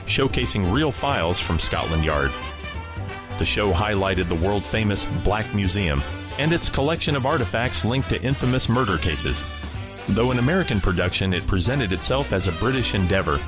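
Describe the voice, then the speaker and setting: Low-voiced man hosting over music. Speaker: host of old-time radio show. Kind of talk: scripted narration.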